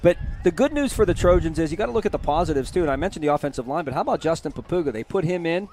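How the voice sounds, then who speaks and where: Chatty male high school football announcer. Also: a little hiss or hum. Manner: chatty, energetic, reads scores quickly. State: losing his voice. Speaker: play-by-play broadcaster. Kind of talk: postgame sports commentary. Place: press box at a high school stadium.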